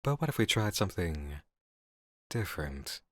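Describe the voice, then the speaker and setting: An actor playing a composed, cerebral male coworker in an ASMR roleplay drama, speaking persuasively with deliberate pauses. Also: low-pitched, sing-song, no room sound. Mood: thoughtful, persuasive.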